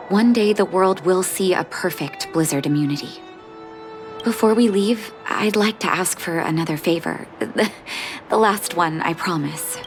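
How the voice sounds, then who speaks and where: A woman voicing a gentle, composed female doctor with quiet sorrow in video game story dialogue, soft and reflective. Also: fairly low pitch, lightly acted. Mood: resolved, gentle. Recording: background game music.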